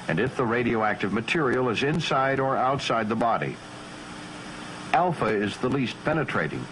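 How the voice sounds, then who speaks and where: An American man narrating a military training film in a formal, scripted style, measured, precise and matter-of-fact. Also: medium pitch, fairly bright tone, a little hiss or hum, rough recording.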